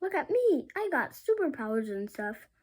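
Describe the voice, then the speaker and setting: A young boy voicing a silly, boastful storybook superhero in a loud, gleeful singsong. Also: extremely high pitch, big pitch swings, normal speed, voice pushed up. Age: child, about 6 to 7.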